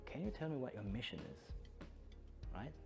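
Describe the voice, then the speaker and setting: A man with a German accent speaks as a trainer at a conference. His medium-pitched voice swings up and down in an animated way as he talks informally and engages his listeners with questions. Faint music is heard with his voice. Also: normal speed, vocal fry. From born in Belgium, studied in Germany, long in Brazil. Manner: conversational, engaging the audience with questions. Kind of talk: informal interactive conference talk.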